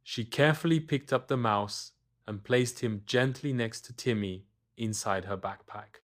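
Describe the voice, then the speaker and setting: A man's voice: mid-range voice, a little slow. A man reading a scripted children's bedtime story as the storyteller.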